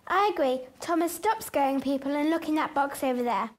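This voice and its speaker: A woman's voice: high voice, expressive, a little fast. A woman playing an eager, bossy schoolgirl who leads her friends in a radio play.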